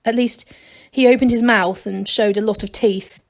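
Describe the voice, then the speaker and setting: Female storybook narrator, slightly high voice, reading aloud for children. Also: fairly even intonation, normal speed.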